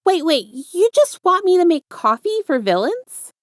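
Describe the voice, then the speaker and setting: An anxious, wary teenage boy, voiced by a woman, pitched well above her natural voice. He stammers and blurts his words in startled disbelief. It is a dramatized story reading with a very dry, close sound. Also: very animated, normal speed, no room sound. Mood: incredulous.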